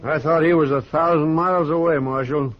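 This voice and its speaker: A man's voice: fairly high pitch, steady tone, a little fast, music in the background, lightly acted. Man playing a hot-headed rancher on muffled radio. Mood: scornful. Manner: stubborn, defiant. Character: hot-headed, jealous young western rancher, engaged. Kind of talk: 1950s radio acting. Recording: muffled old radio recording.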